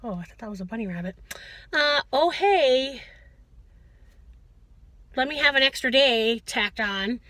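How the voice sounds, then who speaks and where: A woman talking casually to viewers in a chatty, animated video diary, often interrupting herself. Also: high-pitched, a little slow, long pauses, dry recording. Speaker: vlogger, school teacher.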